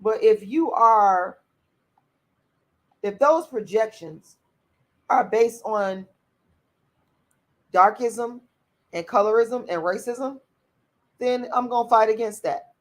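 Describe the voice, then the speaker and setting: A woman's voice: fairly high pitch, slow pace, long pauses. A woman, hosting a livestream and reacting to viewer comments. She talks in a blunt, outspoken way, swears freely and repeats her points to drive them home. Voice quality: raspy voice. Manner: blunt and profane, repeats herself. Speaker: channel host reading viewer comments.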